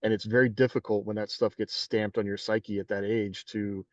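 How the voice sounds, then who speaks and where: A man's voice: lowish voice, level tone, normal speed, no room sound. Podcast co-host, rambling man, lo-fi sound from far off the mic. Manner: rambling, many fillers and restarts. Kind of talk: casual panel discussion. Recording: dull distant dry mic.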